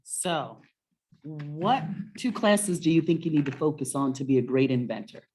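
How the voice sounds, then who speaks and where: Low-voiced, encouraging woman hosting story time. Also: normal speed, darkish tone, slight background noise. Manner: encouraging, often asks questions. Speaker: host of a children's story-time webinar. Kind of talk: casual conversational presenting to and with a child.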